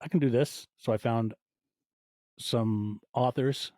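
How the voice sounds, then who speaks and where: A man's voice: medium pitch, takes it slowly, darkish tone, no room sound. A man in his forties speaking as a podcast guest, telling stories casually and acting out little quoted asides in conversation. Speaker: podcast guest, audio fiction producer. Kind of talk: casual interview storytelling.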